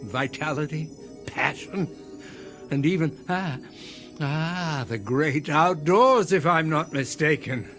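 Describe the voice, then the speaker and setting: An actor playing a sinister, genteel older man in a radio drama, speaking in measured, savoring, ornate phrases. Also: a little high, animated, fairly bright tone, soft background music, heavily acted. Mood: savoring, relishing.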